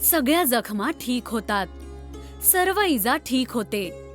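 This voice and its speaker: A woman narrates a scripted children's story. Her voice is high in pitch. Faint music plays behind the narration. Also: very animated, slow.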